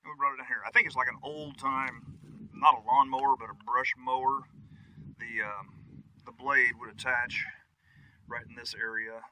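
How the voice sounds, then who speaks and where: A man's voice: mid-range voice, fairly even intonation, moderate pace, sharp tone, slight background noise, low-quality recording. A man giving a casual, folksy, conversational tour of his property outdoors, as a vlog host. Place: outdoors on wooded rural land. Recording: music behind the voice.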